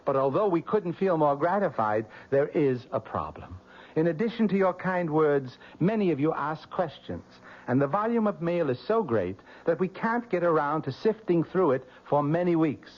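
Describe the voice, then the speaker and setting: A man's voice: on the high side, lively intonation, normal speed. A man, a radio show producer, making an earnest on-air announcement and reading out an address carefully. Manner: earnest, grateful, carefully spelled out. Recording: dull lo-fi old radio broadcast.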